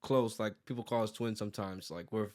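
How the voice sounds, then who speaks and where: A man in his thirties with an American accent and a fairly low voice. He talks casually as an interview guest, rambling, sprinkling in "like" and restarting his thoughts as he explains himself. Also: a little fast, darkish tone. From United States, born in New York and raised in Virginia. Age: 30s. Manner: rambling, frequent "like" and self-corrections. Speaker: interview guest, graphic designer and business owner.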